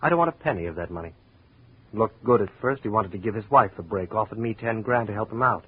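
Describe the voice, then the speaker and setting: A man's voice: on the low side, lively intonation, quick pace, slightly rough. A man playing a sly, prickly, fatalistic barfly in a 1950s radio drama, with a sardonic, world-weary manner. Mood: confiding. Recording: tinny, hissy old radio recording.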